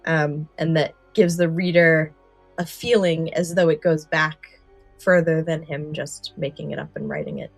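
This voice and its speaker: A woman's voice: low voice, steady tone, unhurried, creaky, no echo, faint music behind. A woman co-hosting a podcast, explaining a favourite subject with enthusiasm, moving between prepared summary and casual discussion. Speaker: podcast co-host.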